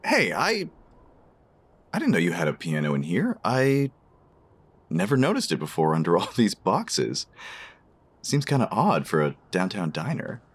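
An actor playing a shy, smitten diner customer in a romance audio drama, speaking in a hesitant, bashful way. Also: male voice, low-pitched, wildly swooping pitch, measured pace, pauses often, husky, heavily acted. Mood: flustered.

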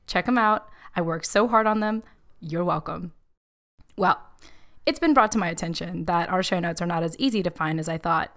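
A woman's voice: lowish voice, a bit bright. A woman hosting a podcast, chatty and upbeat as she interviews a guest and reads an ad. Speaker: podcast host. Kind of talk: podcast interview and host-read ad.

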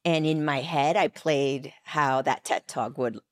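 A woman's voice: low-pitched, measured pace. A woman co-hosting a podcast, chatting conversationally and affirming her guest. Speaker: podcast co-host. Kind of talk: casual podcast chat.

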